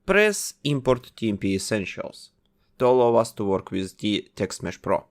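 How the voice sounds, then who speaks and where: A man narrating a step-by-step software tutorial, explaining each action methodically as he goes. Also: lowish voice, sing-song, moderate pace. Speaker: tutorial host.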